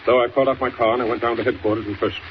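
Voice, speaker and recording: An actor playing a blunt, stubborn, decisive state governor in a 1940s radio drama. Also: male voice, mid-range voice, steady tone, racing, close to an everyday voice. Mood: brisk, explaining. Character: brisk, stubborn, plain-spoken male state governor. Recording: muffled, hissy 1940s radio recording.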